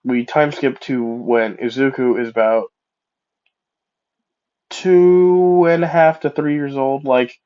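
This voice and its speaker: Slow, rambling man with a stuffy cold. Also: mid-range voice, fairly even intonation. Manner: rambling, self-correcting, casual asides. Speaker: fan story narrator. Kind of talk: improvised fan-fiction storytelling. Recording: dull lo-fi mic.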